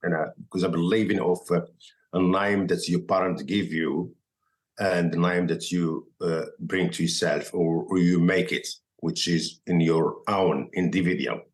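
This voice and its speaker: A man from Morocco with a Moroccan accent and Arabic as his first language, telling his story as a podcast guest in halting, filler-filled English. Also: low-pitched, fairly even intonation. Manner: halting non-native English, many fillers and restarts. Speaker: guest chef. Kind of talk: casual podcast interview.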